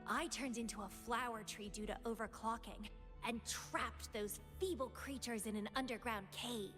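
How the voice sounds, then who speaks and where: Woman acting a high-voiced, teasing, obsessive young woman. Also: fairly even intonation, moderate pace, close to an everyday voice. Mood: scornful, smug. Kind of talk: anime-style video game voice acting. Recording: loud music behind the voice. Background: music.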